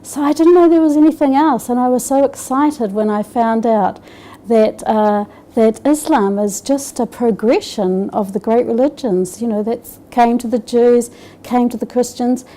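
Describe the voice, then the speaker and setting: A woman's voice: on the high side, moderate pace, mellow. A middle-aged woman from Scotland, raised in New Zealand, speaking with a New Zealand accent, giving a hesitant personal testimony with many fillers and restarts. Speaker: interviewee.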